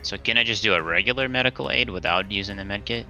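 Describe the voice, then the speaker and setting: A man's voice: fairly low pitch, fast, bright, forceful tone, soft background music, rough recording. A man playing a tabletop roleplaying game, chatting casually as he works out his moves.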